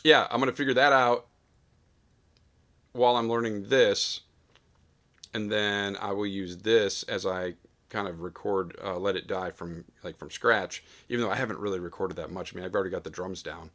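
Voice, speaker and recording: A man recording a casual vlog in his home studio, talking into a studio mic with a big, loud voice and rambling with lots of fillers. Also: fairly low pitch, steady tone, moderate pace. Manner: rambling, self-deprecating, full of fillers. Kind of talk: casual vlog talk between sung takes. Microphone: studio dynamic mic.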